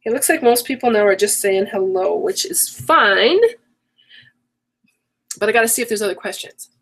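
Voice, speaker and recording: A woman's voice: mid-range voice, lively intonation, normal speed, a bit bright. A middle-aged woman hosting an online craft workshop, rambling and correcting herself as she goes, her voice worn from a coming cold. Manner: chatty, rambling, self-correcting. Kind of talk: casual live-stream chat.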